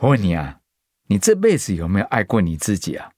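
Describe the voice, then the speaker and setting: A man's voice: lowish voice, wildly swooping pitch, fast talker, a little mellow, dry recording, clean studio sound. A man playing a supportive, brotherly friend in a radio drama, talking in an upbeat, familiar, encouraging way.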